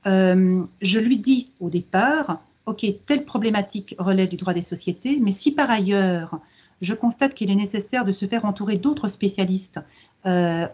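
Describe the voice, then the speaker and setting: Husky middle-aged woman, measured explainer. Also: medium pitch, darkish tone. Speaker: lawyer, interview guest. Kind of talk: explanatory interview answer on a video conference. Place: book-lined office, video call. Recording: dull video-call audio.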